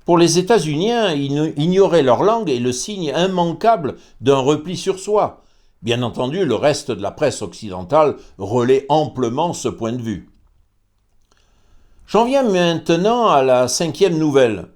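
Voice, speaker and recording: Man, host, scripted commentary, measured, hesitant, argumentative. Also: a little high, expressive, a little mellow. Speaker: host presenting a commentary series. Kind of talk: scripted commentary read aloud.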